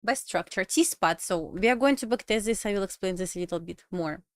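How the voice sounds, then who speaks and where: A woman with a Russian accent, a native Russian speaker, chatting casually on a trading livestream in halting English with restarts. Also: medium pitch, expressive, dry recording, studio quality. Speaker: livestream trader.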